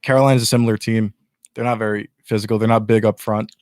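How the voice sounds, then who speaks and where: A man's voice: fairly low pitch, flat delivery, fast talker, darkish tone, dry recording, studio quality. A young man guesting on a casual chat show, earnestly breaking down his team's chances. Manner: earnest, explains at length with restarts.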